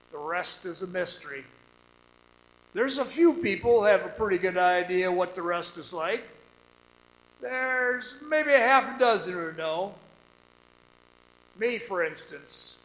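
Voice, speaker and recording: A bright, high-pitched, haunted mine guide acted by a man spins his tale in a radio play. He talks slowly and haltingly, in folksy everyday phrases with ominous pauses. The sound is roomy, like a stage, with faint music behind him. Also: animated. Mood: mysterious, knowing. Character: weary, haunted former copper mine guide telling his story. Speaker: radio drama actor. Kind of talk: radio drama monologue, folksy storytelling. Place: performing arts center stage.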